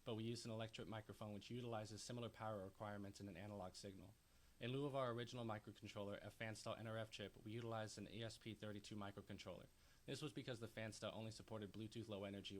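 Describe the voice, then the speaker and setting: A man's voice: lowish voice, steady tone, rapid-fire. A young man reading a scripted technical presentation as an engineering student. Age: young adult. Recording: faint background noise.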